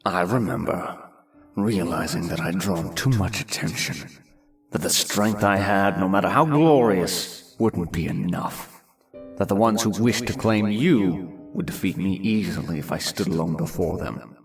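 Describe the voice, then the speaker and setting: An actor playing a cynical, indolent manor lord with a sardonic, pompous, lecturing manner in a fantasy audiobook. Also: male voice, sits low, measured pace, gravelly. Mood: wry, wary. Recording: music behind the voice.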